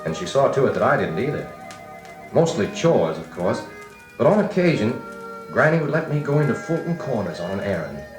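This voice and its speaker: A man's voice: mid-range voice, sing-song, fairly quick, close to an everyday voice. A man playing a grown-up recalling his farm boyhood, narrating in a folksy, nostalgic American voice like an old radio drama. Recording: echoey vintage record with music.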